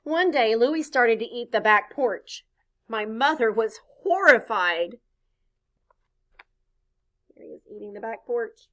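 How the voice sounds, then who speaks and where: A woman reads a picture book aloud in a bright voice that swings widely in pitch. She takes her time and speaks expressively, stepping out of the story to engage her young listeners. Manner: expressive, pauses to point things out and ask questions. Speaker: storybook reader. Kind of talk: children's read-aloud with asides to listeners.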